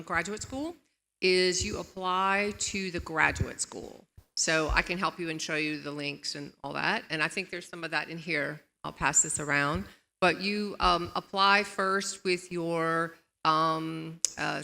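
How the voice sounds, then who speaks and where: A woman's voice: fairly low pitch, creaky, bright, forceful tone. A woman professor answering an audience question in a lecture hall, friendly but hesitant, with many ums. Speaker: visiting professor. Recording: long hall reverb.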